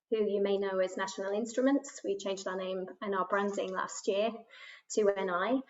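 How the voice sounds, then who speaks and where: A woman panelist speaks in a measured way, pausing often with "um" as she introduces herself. Her voice comes through dull, lo-fi call audio. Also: medium pitch. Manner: measured, with frequent ums. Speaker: panelist, HR executive.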